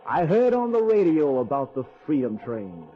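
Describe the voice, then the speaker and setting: Earnest American everyman, high-pitched, folksy dialect, rhythmic theatrical recitation, old-time radio drama, dull recording over music. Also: sing-song, moderate pace. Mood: wary hope.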